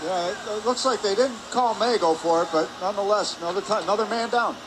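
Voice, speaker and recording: A man giving measured, explanatory color commentary during a live hockey broadcast. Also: sits high. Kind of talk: live sports analysis. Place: indoor ice hockey arena. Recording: noisy arena ambience behind.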